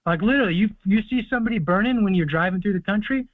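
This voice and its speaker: A man's voice: sits high, racing, no echo. A man hosting a conference call, confidently explaining things at length with plenty of fillers. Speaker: host of a call-in conference line. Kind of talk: casual call-in advice talk. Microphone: phone or conference call. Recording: tinny conference phone line.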